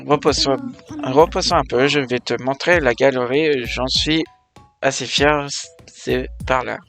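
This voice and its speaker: A man reading aloud the lines of an elderly, eccentric male ghost-hunting scientist from a video game, in a halting, put-on accent. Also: mid-range voice, fairly bright tone. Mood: instructive. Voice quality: halting reading of on-screen dialogue. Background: game music.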